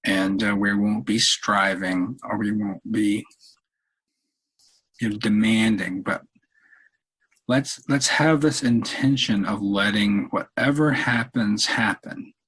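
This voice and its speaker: A man leading a guided meditation in a calm, unhurried, halting way with long pauses and a husky voice. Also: fairly low pitch, poor audio. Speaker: meditation leader, podcast host. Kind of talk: guided meditation, softly spoken instruction.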